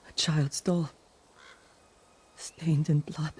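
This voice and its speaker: A woman playing a grim, wounded thief bent on revenge in a dramatic video game, terse and weighty, with a low voice. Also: flat delivery, extremely slow, darkish tone, slightly rough, exaggerated voice. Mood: grim, guarded. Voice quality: low-pitched voice. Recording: music behind the voice.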